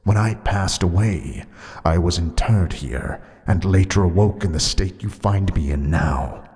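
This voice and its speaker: A man voicing a solemn undead male guardian of a tomb, speaking in a grave, measured way. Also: low voice, a little mellow, pitched below the performer's own voice. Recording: long hall reverb.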